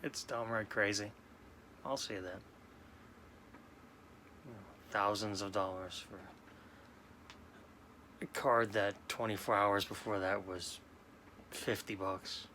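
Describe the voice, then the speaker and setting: A man in his late forties from New York, talking slowly and haltingly in a sad, subdued way as a sports card vlogger. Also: lowish voice, fairly even intonation, pushed and bright, a little hiss or hum. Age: 40s. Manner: halting, subdued, many restarts. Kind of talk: casual personal talk to camera.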